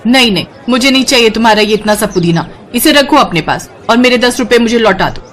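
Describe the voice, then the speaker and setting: A woman's voice: on the high side, lively intonation, fairly quick, a bit bright, some chatter behind. A woman voicing an indignant village customer in a moral story, scolding and complaining sharply. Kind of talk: Hindi moral story voice acting.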